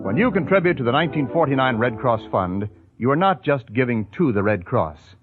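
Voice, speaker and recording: Male announcer, American accent, vintage 1940s radio delivery, lively swooping pitch, muffled old broadcast with loud music. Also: brisk.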